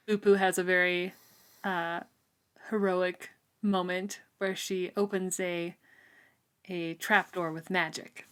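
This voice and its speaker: A woman's voice: on the low side, little pitch movement, slow pace, pauses often, fairly bright tone, smooth. A woman co-hosting a casual book club podcast, rambling and laughing often, with plenty of "um" and "like". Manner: giggly, rambling, many "um"s and "like"s. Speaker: podcast co-host.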